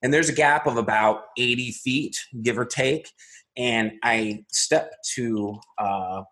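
A man with a husky voice, an interview guest recounting events methodically, step by step, with frequent pauses. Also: medium pitch, a bit bright, rough recording. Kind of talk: recounting a personal incident in an interview.

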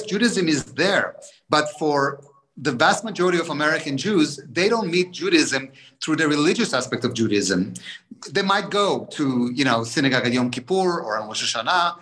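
Higher-voiced man explaining his book. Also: fairly bright tone, rough recording. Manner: explanatory, many restarts and fillers. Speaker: author guest. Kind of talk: interview on a webinar.

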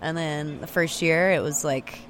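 A woman's voice: sits low, steady tone, a little slow, poor audio. A young woman from Texas, chatting casually as an interview guest, full of "like" and restarts. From Austin, Texas. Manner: chatty, filler-heavy, frequent "like" and restarts. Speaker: singer-songwriter guest. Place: remote location, portable recorder. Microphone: handheld recorder with external mics.

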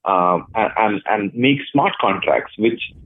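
A man's voice: lowish voice, expressive, slow, a little hiss or hum. A man from Chennai, India, answering interview questions thoughtfully, with frequent hesitations. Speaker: guest, blockchain project lead. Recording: tinny phone line.

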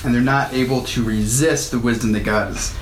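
A man delivers a sermon, talking through scripture in a loose, conversational way and lifting his voice when he reads the verses. His voice sits at an ordinary middle pitch. The room is echoey, with a faint hum of ambience behind him. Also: steady tone, a little fast. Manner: conversational, reads verses aloud with rising emphasis. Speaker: pastor.

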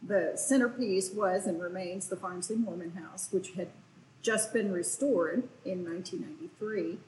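A woman's voice: fairly low pitch, normal speed, a little mellow, echoey room, slight background noise, low-quality recording. A woman, a historic site manager, answering interview questions in an informative, matter-of-fact way.

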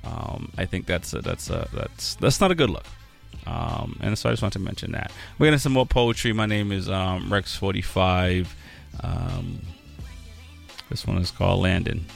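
A radio host with a very low, rough-edged voice. He talks in loose, halting bits full of fillers. Soft music plays faintly behind him. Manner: halting, filler-heavy rambling. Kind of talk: casual radio talk.

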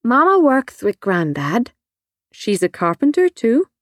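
A woman's voice: on the high side, sing-song, a little slow, no echo, clean studio sound. A woman voicing a chatty, blunt little girl of about five in an audiobook, full of childlike questions. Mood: inquisitive, blunt. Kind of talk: audiobook character voice.

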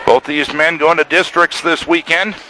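A man giving live radio play-by-play commentary from a school gymnasium, with crisp factual updates on the clock and score. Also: on the high side, steady tone, moderate pace. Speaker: play-by-play sports announcer. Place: high school gymnasium during a live meet. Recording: very noisy live gymnasium crowd recording. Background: loud crowd and gym noise.

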